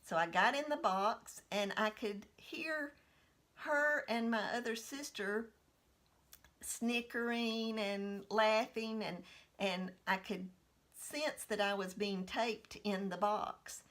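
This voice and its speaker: Woman, 60s, personal storyteller, medium pitch, unhurried and reminiscing delivery. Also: a bit bright.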